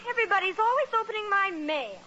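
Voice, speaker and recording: A woman's voice: very high-pitched, expressive, quick pace, heavily acted. A woman playing an indignant, whiny teenage daughter in a 1940s radio comedy. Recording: muffled old radio, loud audience behind.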